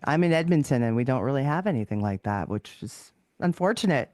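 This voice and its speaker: A woman's voice: a bass voice, animated, a little fast, a little mellow, dry recording, studio quality. A woman with a Canadian accent hosting a podcast and asking questions in a casual interview style.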